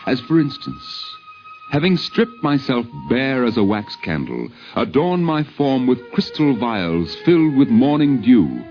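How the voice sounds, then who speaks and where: A man's voice: mid-range voice, huge pitch swings, unhurried, voice pushed up. A man playing a boastful, romantic poet-adventurer in a theatrical 1950s radio recitation. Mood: boastful, confiding. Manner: theatrical, grandiloquent. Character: boastful romantic male poet-adventurer telling of moon voyages. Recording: dull vintage radio recording, music behind.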